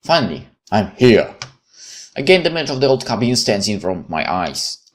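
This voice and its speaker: A man hosting a gaming video, reading a visual novel aloud with casual commentary and halting, stumbling delivery. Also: on the low side, big pitch swings. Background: music.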